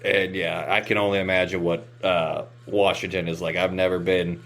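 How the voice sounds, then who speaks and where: Low-voiced American man chatting casually. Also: little pitch movement, moderate pace, a bit bright. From West Virginia, United States. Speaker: podcast host. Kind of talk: casual chat, telling anecdotes.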